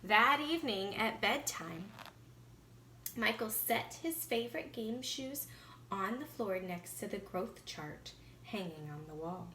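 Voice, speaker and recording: A woman's voice: mid-range voice, animated, takes it slowly, bright, forceful tone, slight background noise, rough recording. A woman teacher reading a children's storybook aloud expressively, with teaching asides and questions to the listener.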